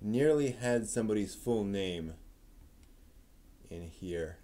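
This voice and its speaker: A man hosting a casual live stream, talking in a low-key, halting, muttering way. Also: lively intonation, mellow.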